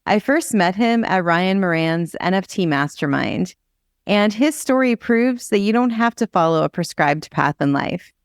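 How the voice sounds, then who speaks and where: A woman's voice: on the low side, animated, normal speed, no echo, studio quality. A woman with an American accent hosting a podcast, speaking in an upbeat, enthusiastic way as she introduces and interviews. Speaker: podcast host.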